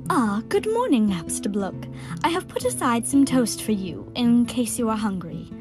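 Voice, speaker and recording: A woman playing a gentle, motherly monster in an audio drama, with kindly, formal, careful phrasing. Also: on the high side, sing-song, normal speed, music in the background.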